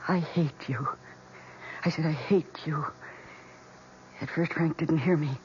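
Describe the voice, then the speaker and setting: A woman's voice: sits low, fairly even intonation, slow, darkish tone. A woman with an American accent playing a fragile, obsessive young housewife in a radio drama, with intense, dramatic delivery. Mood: dark, obsessive. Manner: intense, dramatic, breathy emphasis. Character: fragile, obsessive housewife confessing her killer plants' deed. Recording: dull, noisy old radio recording.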